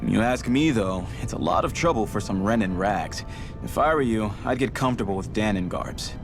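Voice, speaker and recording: Folksy resistance comrade, wry, plain-spoken, low-ish voice, video game, background music. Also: male voice, lively intonation, normal speed. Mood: wry, advising. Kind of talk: video game cutscene voice acting. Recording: music behind the voice. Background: game soundtrack music.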